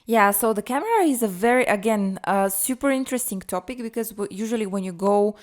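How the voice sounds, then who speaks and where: A woman's voice: medium pitch, expressive, brisk. A woman co-hosting a podcast, explaining presentation tips in a careful conversational way. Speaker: podcast co-host, presentation coach.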